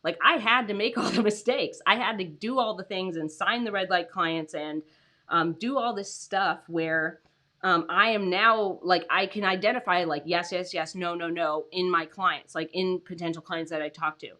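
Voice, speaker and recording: Tired, raspy-voiced woman host. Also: fairly low pitch, normal speed, pushed and bright. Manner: chatty, lots of "like" and "um", laughs often. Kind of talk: casual livestream chat.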